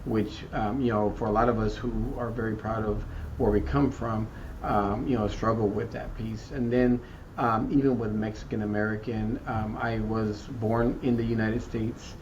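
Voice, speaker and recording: A man from South Texas answering interview questions thoughtfully, often repeating words and pausing with "um" as he searches for the right term. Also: fairly low pitch, steady tone, a little mellow. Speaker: school district equity chief. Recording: muffled, distant, faint background noise.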